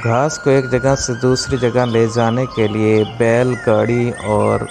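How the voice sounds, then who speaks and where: A man talks slowly and evenly, his low voice rough with fry. He narrates in a measured, informative way. Loud music plays under the voice-over. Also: little pitch movement. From Pakistan. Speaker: vlogger. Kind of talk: voice-over narration of a village vlog.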